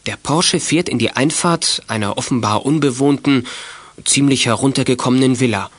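A man's voice: medium pitch, normal speed, no echo, lightly acted. A man narrating a youth radio play in a scripted storytelling style.

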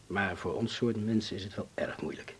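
An actor playing a courteous, grave man in hiding in a Dutch radio drama, speaking formally and deliberately with a Netherlands Dutch accent. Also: lowish voice, steady tone, slight background noise, natural voice. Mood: delicate, persuasive.

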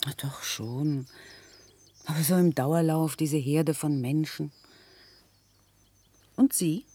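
Mellow, low-voiced straggling visitor. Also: female voice, slow pace, breathy edge, heavily acted. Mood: casual, unworried. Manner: casual, hesitant, conversational. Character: naive, dawdling female visitor left behind by tour. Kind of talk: naturalistic radio play acting.

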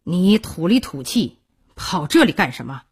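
An arrogant, besotted court clerk played by a woman narrator speaks in a very husky voice. His delivery is dramatic and emotional, with wide swings in pitch, in a storytelling style. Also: very animated, unhurried, full character voice. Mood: angry, haughty.

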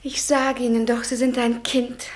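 A woman acting in a German radio play as a bold, sharp-tongued young lady, assured, knowing and gently condescending. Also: a little high, little pitch movement, moderate pace, lightly acted. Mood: cool, tender, teasing.

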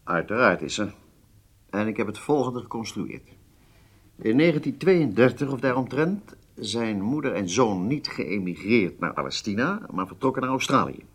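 An actor playing a shrewd, informal intelligence planner in a radio drama, with a confident, conversational, wry manner. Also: male voice, medium pitch, lively intonation, normal speed, a bit bright, slightly rough. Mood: explaining. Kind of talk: Dutch radio drama acting.

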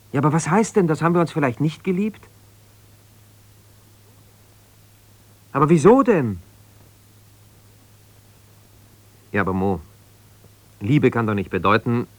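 An actor playing an embittered, ambitious lawyer husband who talks in an argumentative, colloquial way in a naturalistic radio play. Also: male voice, mid-range voice, fairly bright tone, no room sound, lightly acted. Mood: indignant, defensive. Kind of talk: naturalistic radio play, one side of a phone call.

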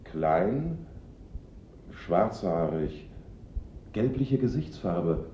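A man's voice: sits low, big pitch swings, drawn-out words, dark tone, reverberant, highs cut off, slight background noise, exaggerated voice. An actor playing an uneasy, evasive working man giving hesitant witness answers in a German radio play. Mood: guarded.

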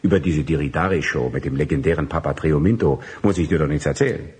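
A pushy, wheedling male agent in a radio drama. His voice is deep and very husky, and he cajoles in slangy sales patter. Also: fast, darkish tone, heavily acted. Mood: excited pitching.

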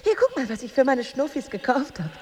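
A woman's voice: high-pitched, sing-song, brisk, a little mellow, slight background noise. An actress playing a chatty, condescending married woman needling a lonely rival in a German radio drama, gushing and giggly.

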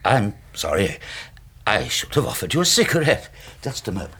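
An actor playing a polite, hesitant middle-aged English army major in a radio drama, reserved and a little wistful. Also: male voice, lowish voice, sing-song, brisk, fairly bright tone, slightly rough, no echo, heavily acted.

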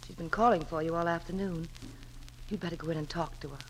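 An actress playing a gentle, caring mother in a 1940s radio drama, choosing her words softly and carefully. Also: on the low side, steady tone, fast talker, natural voice. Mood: worried. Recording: noisy old 1940s radio recording.

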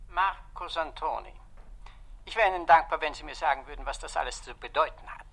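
An actor playing an irritable, self-important husband in a German radio crime drama. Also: male voice, fairly high pitch, a little fast, vocal fry, bright, forceful tone, full character voice. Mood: indignant, impatient.

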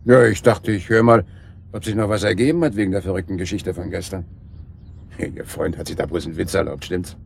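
A man's voice: deep voice, steady tone, fairly quick, vocal fry, darkish tone, breathy edge, exaggerated voice. An actor playing a genial, inquisitive local man, chatty and politely probing, in naturalistic radio drama. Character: Herr Brohmann, genial, inquisitive married boat renter. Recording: background noise and ambience.

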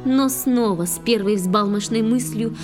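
A woman tells a tale in verse in a theatrical style. Her voice is fairly high and expressive. Music plays clearly behind her dramatic delivery. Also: unhurried. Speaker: narrator. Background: music with flute.

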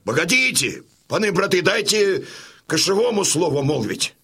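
An actor playing a seasoned, commanding Cossack warrior with a husky voice in an audio drama. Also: male voice, sits high, very animated, moderate pace, bright, forceful tone, heavily acted.

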